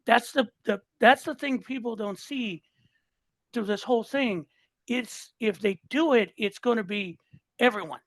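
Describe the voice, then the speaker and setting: A man's voice: extremely high pitch, unhurried, fairly bright tone, no echo. Fired-up guest in his sixties, talks in bursts and restarts, dry close sound. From Southern California. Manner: fired up, repeats and restarts words. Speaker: listener guest. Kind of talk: casual political chat.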